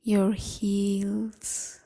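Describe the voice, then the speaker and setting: A woman leading a guided meditation in a gentle, soothing, half-whispered voice, leaving long pauses between phrases. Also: medium pitch, monotone, vocal fry. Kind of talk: guided evening body scan meditation.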